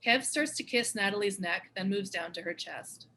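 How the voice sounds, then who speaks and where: A woman's voice: mid-range voice, moderate pace, bright, forceful tone. A woman narrating the stage directions of a screenplay in a scripted read.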